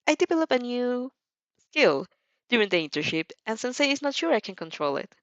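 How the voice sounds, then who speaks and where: A woman playing a mellow, polite young student hero with dry confidence in an audio story. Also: fairly high pitch, big pitch swings, fairly quick, no room sound, highs cut off, voice pushed up. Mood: indignant.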